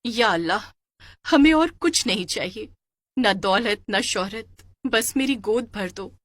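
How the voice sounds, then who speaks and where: Loving, teasing village wife for a cartoon tale, played by a woman; animated delivery, playful. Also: medium pitch, slow, no room sound. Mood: pleading. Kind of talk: cartoon story character voice.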